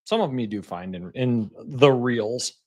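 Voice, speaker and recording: Easygoing man with a lively voice. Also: medium pitch, normal speed. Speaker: podcast co-host. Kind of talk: casual podcast chat.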